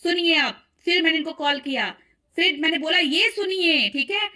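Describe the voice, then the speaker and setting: A woman's voice: very high voice, expressive, normal speed, bright, forceful tone. A woman vlogger giving heated commentary to viewers, accusatory and insistent as she addresses them directly. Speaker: vlogger presenting call recordings.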